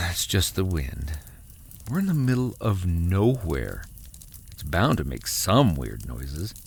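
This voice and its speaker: A man playing a weary husband and father, narrating a horror audio drama in the first person with dramatic pauses. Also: low-pitched, very animated, vocal fry, a little hiss or hum, exaggerated voice. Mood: dismissive. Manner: slow, dramatic storytelling with heavy pauses. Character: weary husband and father recounting a terrifying cabin getaway. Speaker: narrator and actor.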